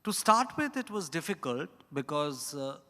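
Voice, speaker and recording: A man speaking as an author about writing, unscripted, in deliberate separate phrases. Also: a little high, big pitch swings, bright, forceful tone. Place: event hall at a hotel. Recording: echoey hall with long reverb.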